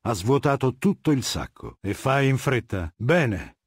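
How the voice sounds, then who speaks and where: An actor playing a ruthless middle-aged warlord dictator in a video game, speaking in an imperious, measured, menacing manner with a husky voice. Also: male voice, medium pitch, big pitch swings, dry recording, clean studio sound, full character voice. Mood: commanding. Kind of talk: dubbed video game dialogue.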